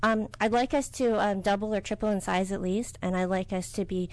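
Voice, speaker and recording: Brief: middle-aged woman, business owner, interviewee; soft-spoken, halting, lots of ums. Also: mid-range voice, steady tone, dry recording. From United States. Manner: soft-spoken, hesitant with many fillers. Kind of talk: interview answers.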